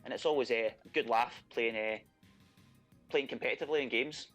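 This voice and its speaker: A man's voice: mid-range voice, moderate pace, fairly bright tone. Scottish man, thick accent, casual interview chat, self-deprecating, lots of fillers, faint music behind. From Scotland. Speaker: contestant.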